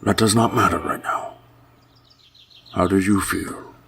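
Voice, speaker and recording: A grieving monster king, voiced by a man, fatherly and tender. He speaks slowly in a low register with a gravelly creak, always calm and reassuring. It is a dramatic fan reading with music underneath. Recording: music bed with birdsong behind.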